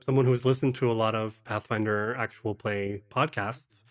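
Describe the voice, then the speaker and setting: A man talking casually as an interview guest, explaining his work in halting phrases and often correcting himself. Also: fairly low pitch, steady tone. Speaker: podcast guest, actual-play game master and producer.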